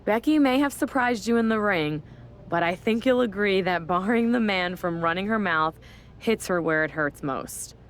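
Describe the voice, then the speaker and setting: A woman's voice: mid-range voice, expressive, moderate pace, close to an everyday voice. A woman playing a smug, power-hungry wrestling general manager in a video game, taunting her rival theatrically.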